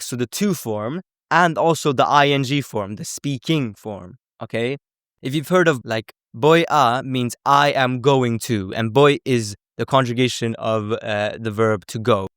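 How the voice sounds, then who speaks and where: Male language teacher, mid-pitched, informal explainer, conversational with frequent check-ins, very dry recording. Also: clean tone, dry recording. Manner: conversational, often checks in with okay and right.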